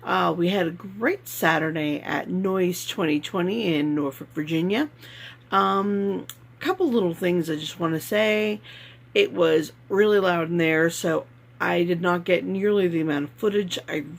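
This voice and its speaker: A man with a slightly hoarse voice hosting his vlog in a rambling, casual way, with many fillers. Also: high voice, unhurried. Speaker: vlog host.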